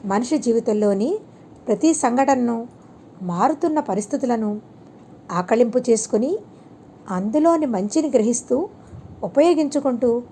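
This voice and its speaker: A woman voicing a serene, wise male holy teacher in a storybook, calmly and deliberately admonishing with rhetorical questions. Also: fairly high pitch, a little hiss or hum. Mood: solemn, advising.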